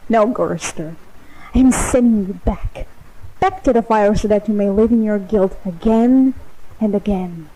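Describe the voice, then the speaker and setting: A woman voicing a hateful, sadistic godlike supercomputer with a teenage god complex, taunting in a deliberate, mock-sweet dramatic monologue. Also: mid-range voice, dark tone, slight background noise, lightly acted, rough recording. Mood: cold, vindictive. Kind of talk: dramatic monologue, fan voice acting of game lines.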